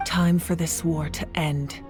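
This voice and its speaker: A woman playing a solemn, commanding war leader in a game, speaking in a grave, measured way. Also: sits low, level tone, over music. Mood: grave, sorrowful.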